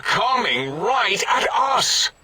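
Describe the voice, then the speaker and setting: High, histrionic robot scientist. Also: male voice, big pitch swings, bright, forceful tone, husky, exaggerated voice. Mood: dread. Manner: histrionic, halting, fretful. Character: anxious, melodramatic scientist brain in a machine. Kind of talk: theatrical comic video game acting.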